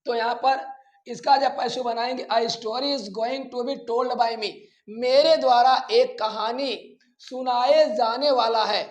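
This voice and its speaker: A man teaching a grammar lesson, deliberately repeating phrases and addressing his students directly in an echoey, roomy recording. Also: very high-pitched, steady tone. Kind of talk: classroom-style grammar lesson.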